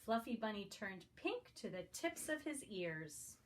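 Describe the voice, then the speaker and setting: Woman reading aloud to preschoolers, mid pitch. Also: big pitch swings, measured pace, slight background noise. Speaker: guest story reader for preschoolers. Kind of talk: children's picture book read-aloud.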